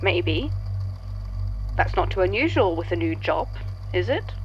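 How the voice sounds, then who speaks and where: A woman's voice: fairly low pitch, very animated, a little slow, muffled recording, soft background music. A woman playing a sardonic, sceptical male archivist in an audio drama, with a dry, wry delivery. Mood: guarded, sceptical.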